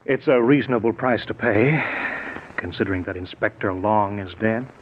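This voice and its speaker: A man plays a cold, commanding scientist villain in a 1940s radio thriller. His voice is on the low side and he brushes off all objections with full confidence. The recording is dull, with some noise behind it. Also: normal speed, close to an everyday voice. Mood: strained, matter-of-fact. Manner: dismissive, self-assured. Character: cold, commanding male scientist turned invisible killer.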